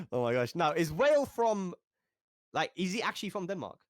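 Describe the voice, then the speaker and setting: A man's voice: sits high, sing-song, normal speed, dry recording, clean studio sound. A man giving chatty, enthusiastic live commentary on a fighting-game tournament, often laughing along. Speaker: esports commentator.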